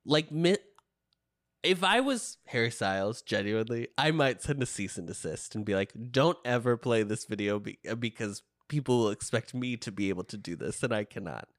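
A man's voice: mid-range voice, lively intonation, normal speed, no echo, clean studio sound. A man co-hosting a casual reaction chat, talking playfully with dramatic asides. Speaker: podcast co-host.

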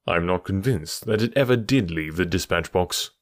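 An unflappable detective, as a male reader voices him, answers in a low, dry, unhurried tone. His voice sits low and plain. The recording is close with no room sound. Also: lively intonation, dry recording. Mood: cool. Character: calm, cool male detective.

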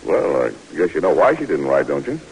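An actor playing a brisk, stern but caring American army lieutenant in an old radio drama, blunt and commanding yet coaxing, on a hissy old recording. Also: male voice, extremely low pitch, sing-song, exaggerated voice, rough recording.

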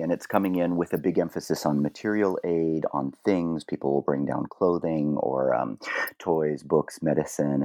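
Man, interviewee; very deep and dark, dry recording, thoughtful with many ums. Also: no room sound. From Quebec. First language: French. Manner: measured, with frequent ums and restarts. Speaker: author guest.

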